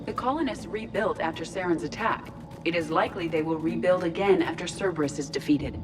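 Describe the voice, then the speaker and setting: A precise, composed female machine mind from a video game, acted by a woman. She speaks formally in a lowish voice, with music clearly behind her. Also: a little fast, lightly acted. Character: female artificial intelligence, precise, literal and composed. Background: background music.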